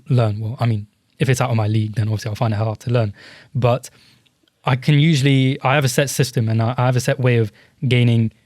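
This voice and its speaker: A man's voice: medium pitch, a little mellow, no room sound, clean studio sound. Hesitant young man, reflective. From Britain. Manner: hesitant, reflective, hedges with "I guess". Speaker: podcast co-host. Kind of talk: casual podcast discussion.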